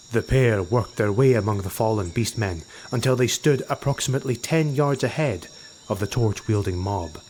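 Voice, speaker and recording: A man reading a fantasy audiobook as narrator in a measured, dramatic style. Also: medium pitch, a little hiss or hum.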